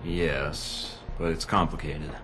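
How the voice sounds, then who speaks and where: Game spy hero: American, very deep, understated, wry and resolute, over prominent music. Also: male voice, level tone, takes it slowly. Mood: guarded, dry. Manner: low-key, dryly wry. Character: cool, determined young male American secret agent. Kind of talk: video game cutscene acting.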